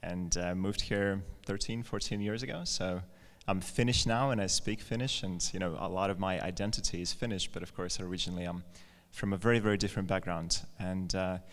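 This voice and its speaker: A man's voice: low-pitched, steady tone, fairly quick, few pauses. A man from Latvia telling his personal story as a guest on stage, in an informal, conversational way. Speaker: guest speaker, company founder. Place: large indoor event space, live on stage. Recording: echoey hall, live event PA.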